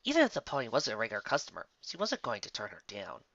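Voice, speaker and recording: Story narrator: animated, forceful, dramatic read, close and dry, slightly muffled. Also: mid-range voice, quick pace, dry recording. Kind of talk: scripted fan-fiction story narration.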